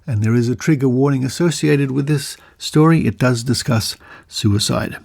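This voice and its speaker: A man with an Australian accent presenting a podcast conversationally, with deliberate pauses and drawn-out emphasis. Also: mid-range voice, creaky, darkish tone. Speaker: podcast host.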